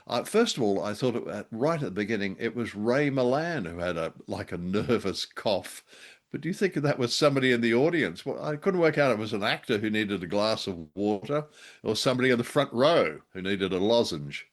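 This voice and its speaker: A man's voice: on the low side, animated, a little fast. A man co-hosting a podcast, musing and a little hesitant as he casually reviews radio dramas.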